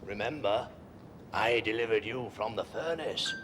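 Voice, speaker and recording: An actor playing a weary fairy-tale soldier, solemn and imploring, in a film. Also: male voice, fairly low pitch, expressive, a little slow, background noise. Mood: solemn, urgent. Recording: film soundtrack with loud organ music.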